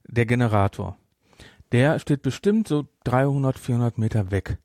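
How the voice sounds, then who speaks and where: A man's voice: mid-range voice, moderate pace, darkish tone, no room sound. A German man co-hosts a casual film podcast in a mid-range voice. He explains things at length, rambling and correcting himself as he goes. The sound is very dry, with no room around him. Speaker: podcast co-host. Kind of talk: casual film discussion chat.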